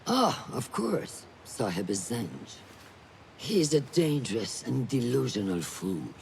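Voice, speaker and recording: A man's voice: mid-range voice, very animated, slow, vocal fry, a little hiss or hum, heavily acted. An actor playing a sceptical, scornful senior male assassin in a video game, with a sardonic manner. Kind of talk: video game cutscene acting.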